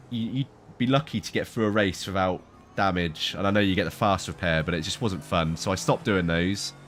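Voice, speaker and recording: Stuffy-nosed man, low voice. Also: fairly bright tone, slight background noise. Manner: chatty, rambling, laughs easily. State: stuffy from hay fever. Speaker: sim racing streamer. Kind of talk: casual chat while racing.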